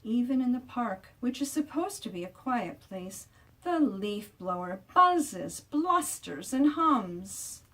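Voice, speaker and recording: A woman reads a children's picture book aloud at an unhurried pace, in an expressive storytelling style. She is deliberate, lingering on key words for emphasis. The voice sits a little away from the microphone. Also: on the high side, recorded from a distance, poor audio. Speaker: children's author reading own book aloud.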